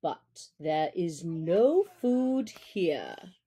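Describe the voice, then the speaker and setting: A woman voicing a stern, irritable father wolf in a storybook, speaking in a stiff, gruff, curt way. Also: lowish voice, sing-song, extremely slow, warm tone, pitched below the performer's own voice, full character voice.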